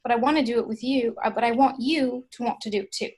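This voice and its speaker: Girlfriend, late twenties: high voice, earnest, exasperated, pleading for more out of life woman reader, informal table read. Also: fairly even intonation, fast, darkish tone, slightly rough. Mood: earnest exasperation. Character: exasperated, affectionate 29-year-old girlfriend, wanting more from life.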